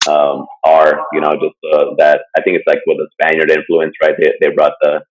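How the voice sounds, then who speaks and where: Deep-voiced dude rambling on a podcast, lots of likes and uhs, muffled lo-fi audio. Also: male voice, completely flat delivery, normal speed, a little hiss or hum. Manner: rambling, full of "like" and "uh" fillers, chuckles often. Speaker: podcast co-host presenting a topic. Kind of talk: casual chat. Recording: dull lo-fi sound.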